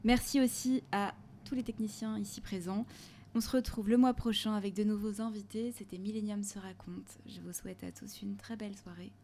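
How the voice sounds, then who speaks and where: A woman hosting and moderating a panel talk in a café, asking questions in a halting, hesitant way. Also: mid-range voice, steady tone, darkish tone. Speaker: host and moderator.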